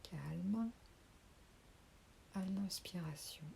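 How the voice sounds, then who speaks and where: A woman leading a guided sophrology relaxation, speaking softly and calmly and sometimes dropping to a whisper. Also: lowish voice, vocal fry, slight background noise. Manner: slow, soft, calming, with whispered phrases. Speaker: sophrology teacher.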